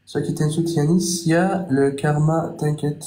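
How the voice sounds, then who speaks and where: Blunt man, dark voice, echoey room. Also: on the high side, steady tone, moderate pace, faint music behind. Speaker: TikTok live host. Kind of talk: casual live-stream chat.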